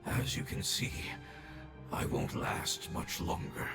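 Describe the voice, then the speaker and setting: An actor playing a dying ancient male creature who speaks in a hushed, gravelly, solemn way in a game cutscene. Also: lightly acted. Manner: solemn, measured farewell. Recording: echoey voice over game music.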